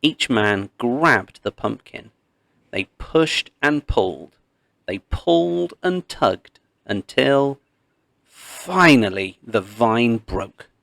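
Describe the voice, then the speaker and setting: Male narrator for children's storybook read-along: unhurried, expressive, mid-range voice, dry studio-like sound. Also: medium pitch, vocal fry, no echo. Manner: measured, expressive storytelling with pauses. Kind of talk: scripted read-aloud storytelling of a myth.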